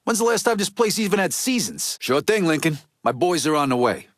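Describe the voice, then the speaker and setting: An actor playing a tough, foul-mouthed middle-aged Italian-American mobster in a video game, with an American East Coast accent and blunt street talk. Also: male voice, fairly high pitch, sing-song, a little fast, fairly bright tone, no room sound, studio quality. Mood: businesslike. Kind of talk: video game character dialogue.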